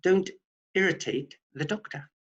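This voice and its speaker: A flippant hospital doctor acted by a man in a drama read, husky and patronising, with officious self-importance. The sound is dull, as over a video call. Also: sits high, unhurried, fairly bright tone. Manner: glib, patronising. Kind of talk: scripted table read.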